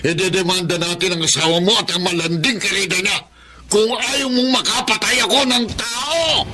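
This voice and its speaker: A man's voice: high-pitched, normal speed, over a noisy crowd, close to an everyday voice. An actor playing an outraged, domineering father in a melodrama, scolding with indignation. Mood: outraged, threatening. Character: outraged, domineering father defending his grown daughter. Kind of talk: Filipino melodrama acting.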